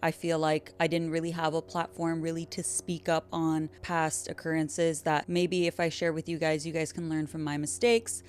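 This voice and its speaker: A woman's voice: deep voice, flat delivery, moderate pace, hardly any pauses, a little mellow, a little hiss or hum. A woman in her twenties hosting her own podcast, chatting casually and upbeat to her listeners. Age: 20s. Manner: chatty, upbeat, self-described yapper. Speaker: podcast host. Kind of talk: casual solo podcast intro.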